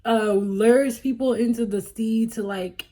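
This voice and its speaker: Woman from Cameroon, vlogger, mellow voice, rambling casual storytime, laughs a lot. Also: fairly high pitch, steady tone, a little slow. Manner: chatty, rambling, self-correcting, laughs often.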